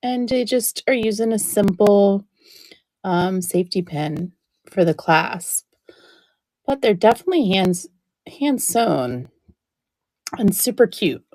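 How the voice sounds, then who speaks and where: A woman running a live-stream auction, chatting warmly about each item while she loses her voice and keeps clearing her throat. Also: medium pitch, lively intonation, slow, long pauses, no echo, rough recording. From New Jersey. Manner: chatty, describing items up close.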